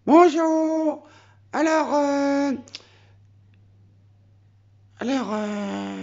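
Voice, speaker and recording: A man voicing a greedy, always hungry male pet animal that talks slowly and simply. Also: extremely high pitch, darkish tone, highs cut off, voice pushed up, exaggerated voice. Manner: slow, simple, drawn-out talk.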